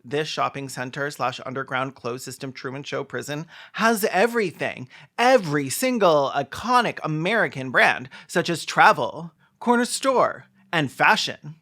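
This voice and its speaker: A commentator with a medium-pitched voice hosts a sarcastic video breakdown. The delivery is snarky and theatrical, peppered with profanity. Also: lively intonation, normal speed, few pauses, bright, forceful tone. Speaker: host.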